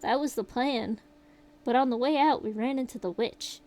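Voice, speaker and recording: A woman's voice: on the high side, moderate pace, a little mellow, lightly acted. A woman reading as a shaken young survivor. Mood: grim retelling. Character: shaken young survivor recounting a horror night.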